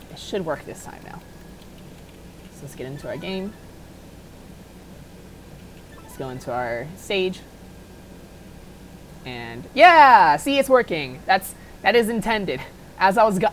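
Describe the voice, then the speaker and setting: A woman giving a casual, unedited software tutorial in a rambling, self-deprecating, apologetic way. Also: on the low side, slight background noise, low-quality recording. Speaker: tutorial maker.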